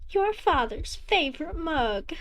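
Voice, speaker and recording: A woman's voice: high-pitched, sing-song, slow, fairly bright tone, voice pushed up, exaggerated voice. A woman voicing a doting middle-aged mother returned from the dead, sweet and chirpy in a motherly way. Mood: sweetly cheerful.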